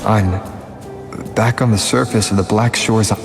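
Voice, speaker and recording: An actor playing a calm, reflective young male wanderer, the hero of a video game. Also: on the low side, measured pace, creaky, loud music behind the voice. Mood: calm, pensive. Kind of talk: English video game voice acting, inner monologue.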